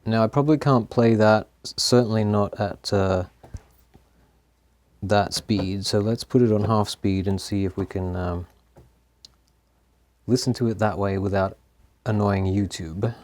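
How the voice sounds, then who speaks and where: Man, low voice, unhurried, hesitant, frequent chuckles, hobby repair commentary, faint hiss. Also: steady tone, a little mellow. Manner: laughs often, hesitant with many ums. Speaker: host of an electronics repair channel. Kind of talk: casual hands-on commentary.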